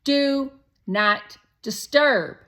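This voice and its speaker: Woman voicing a loud, high-pitched bossy young boy. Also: very slow, full character voice. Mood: triumphant, carefree. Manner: exuberant, pushy, self-assured. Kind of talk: children's storybook character voice.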